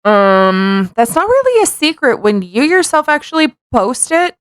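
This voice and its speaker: A woman's voice: high voice, big pitch swings, a little slow, clear, resonant tone, dry recording. Woman podcast co-host, close dry sound. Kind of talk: casual chat and reading a post aloud.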